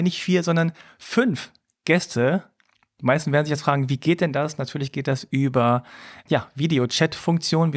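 A man hosting a podcast group chat in a playful, lively, joking way. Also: a little high. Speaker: podcast host.

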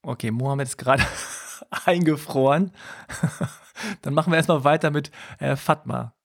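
A man hosting a podcast group chat in a playful, lively, joking way. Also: fairly high pitch, a little slow, gravelly. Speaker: podcast host.

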